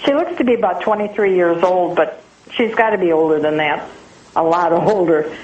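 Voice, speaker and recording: A woman speaking as an interview guest, giving a conversational account in a hesitant, halting way. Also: fairly low pitch, darkish tone, highs cut off. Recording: echoey, noisy interview audio.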